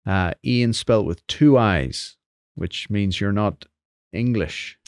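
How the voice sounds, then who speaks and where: Male podcast host, low voice, dry studio mic; friendly, inquisitive, agrees along with his guest. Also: slow, no room sound. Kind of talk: podcast interview.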